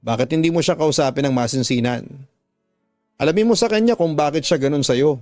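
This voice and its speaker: A man's voice: on the high side, brisk, darkish tone, dry recording, soft background music, natural voice. A man voicing an older gossipy neighbor woman, motherly, concerned and coaxing as she gives advice in a radio drama. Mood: sincere advice. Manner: coaxing, advising.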